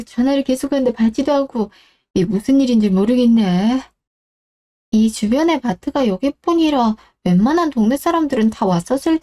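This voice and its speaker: A woman's voice: a little high, warm tone, close to an everyday voice. Woman acting a sighing, doting elderly mother. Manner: sighing, rambling, fussing. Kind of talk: Korean radio-drama story acting.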